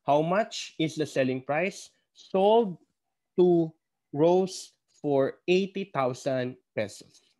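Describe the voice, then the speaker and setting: A man's voice: on the high side, expressive, slow pace, a little mellow. A man with a Filipino accent lecturing as an instructor, working step by step and answering his own questions. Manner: didactic, asks questions and answers them himself. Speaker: accounting instructor. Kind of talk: classroom lecture, step-by-step problem walkthrough.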